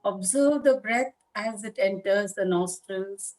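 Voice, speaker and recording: A woman leading a guided meditation as a teacher, speaking in a calm, measured manner with long pauses between short phrases. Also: medium pitch, rough recording. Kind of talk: guided meditation instruction, live session.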